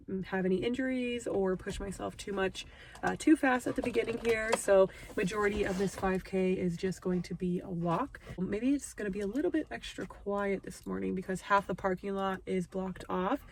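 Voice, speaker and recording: A young woman with a nasal, stuffed-up voice chatting casually in a vlog, first from her parked car and then out on a ravine walking trail. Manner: chatty, self-deprecating, talks in a high sweet voice to her dog. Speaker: vlogger.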